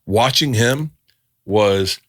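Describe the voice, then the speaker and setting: A middle-aged man from Florida chats with his guest, spinning stories and jumping into the voices of the people in them. His voice sits on the low side, and the sound is close and dry with no room in it. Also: very slow, no echo. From Tampa, Florida. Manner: animated storyteller, acts out quotes, laughs often. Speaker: podcast host. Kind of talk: casual storytelling chat.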